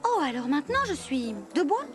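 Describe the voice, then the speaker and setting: A woman voicing a playful, teasing furry animal in an animated film dub, giggly, wry and coy. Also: sits high, brisk, over music.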